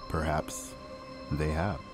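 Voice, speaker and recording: A man playing a proud, distrustful talking animal in an animated fairy tale, grave and deliberate. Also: extremely low pitch, over music. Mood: pondering.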